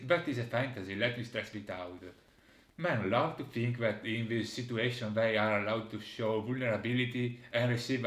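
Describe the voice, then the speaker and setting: A man with a fairly low voice comments on a video game as its presenter. He speaks hesitantly, stopping to search for the next phrase. The room around him echoes noticeably. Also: fairly bright tone. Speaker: host presenting a game critique. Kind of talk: video game commentary, reading dialogue aloud.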